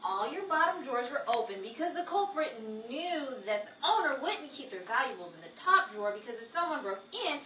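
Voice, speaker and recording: Woman as bright, cocky 1940s girl detective. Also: high voice, sing-song, brisk, few pauses, far from the mic, muffled recording, full character voice. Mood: smug. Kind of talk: 1940s radio comedy acting. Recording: echoey room, off mic, faint noise.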